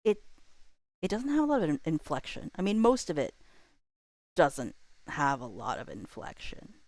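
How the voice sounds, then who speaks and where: A woman's voice: low voice, huge pitch swings, moderate pace, darkish tone, no echo. A woman livestream host chatting casually, rambling and thinking aloud in an informal way.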